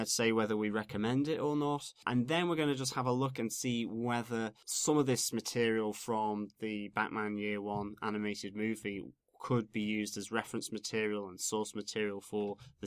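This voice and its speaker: A man co-hosting a casual podcast, sounding nasal from a head cold and explaining things in a steady, plain way. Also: lowish voice, smooth, no echo, clean studio sound. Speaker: podcast co-host.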